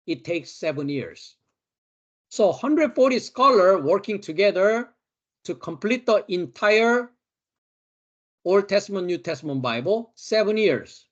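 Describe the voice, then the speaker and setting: A man with a Korean accent, a Bible teacher giving a study lecture, slowly and emphatically repeating key words. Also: high voice, muffled recording.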